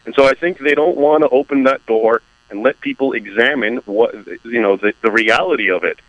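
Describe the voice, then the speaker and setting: A man from the United States, an author speaking as a radio guest, explaining his view in a measured, emphatic way. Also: mid-range voice, a bit bright, no echo, poor audio. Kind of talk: radio interview.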